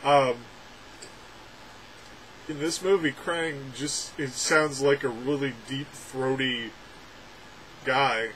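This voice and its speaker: A young adult man reviewing a film from a room at home, talking sarcastically with animated, exaggerated outbursts. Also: mid-range voice, takes it slowly, pauses often, noisy background. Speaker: movie reviewer. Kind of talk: casual ranting film review. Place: home room with packed shelves, indoors. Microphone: webcam framing at desk.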